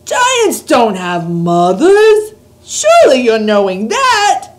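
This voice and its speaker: Booming, slow, grandly muddled old giant, read by a woman, loud and lively in pitch. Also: full character voice. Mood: earnest.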